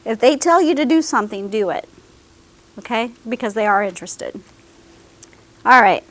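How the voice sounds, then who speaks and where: A woman's voice: fairly high pitch, expressive, moderate pace, no room sound. A woman giving a career services presentation to a class of students, talking to them directly and often checking in with "okay?". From American South.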